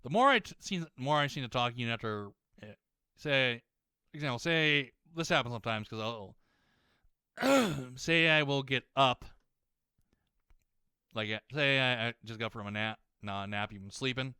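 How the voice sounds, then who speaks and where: A young man ranting while playing a game, rambling, swearing a lot and often restarting his sentences, his voice slightly hoarse as it warms up. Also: medium pitch, animated, moderate pace, dry recording, studio quality. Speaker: gaming YouTuber.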